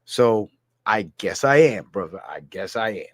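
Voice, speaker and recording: A man's voice: lowish voice, lively intonation, moderate pace, dry recording, clean studio sound. A man from Guyana ranting through a political commentary livestream, heated and blunt, reading viewer comments aloud. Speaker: streamer.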